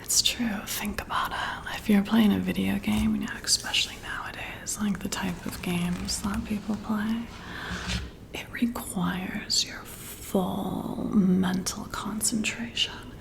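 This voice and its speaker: A woman speaking in a soft, breathy whisper in an intimate ASMR roleplay, addressing the listener in a flattering way as a friendly boss. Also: mid-range voice, slow pace. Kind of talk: soft-spoken ASMR roleplay, one-sided intimate chat.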